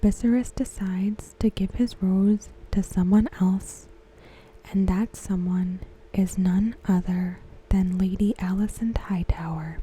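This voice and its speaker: A woman softly whispering a scripted recap in ASMR style, close to the microphone, with gentle, deliberate phrasing. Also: mid-range voice, steady tone, low-quality recording. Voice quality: soft, breathy, mostly whispered. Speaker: ASMR host. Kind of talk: ASMR, softly reading a scripted episode synopsis.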